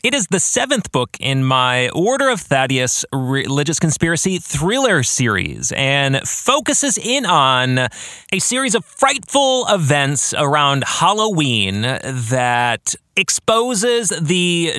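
A man hosting a podcast solo, speaking in an enthusiastic, deliberate, emphatic way with casual asides. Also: on the high side, sharp tone, no echo, clean studio sound. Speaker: podcast host and novelist. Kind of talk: scripted-sounding podcast monologue with casual asides.

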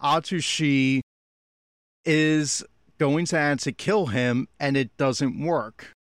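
A man's voice: on the high side, slow pace, no room sound, clean studio sound. A man giving blunt, exasperated commentary as he reacts critically to an anime episode. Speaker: podcast host giving audio commentary.

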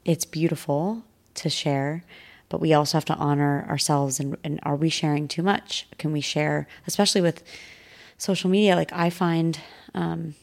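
A woman's voice: sits low, steady tone, normal speed, vocal fry. A woman in her thirties, a podcast guest talking reflectively and earnestly in casual conversation. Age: 30s. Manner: reflective, earnest, talks through thoughts with restarts.

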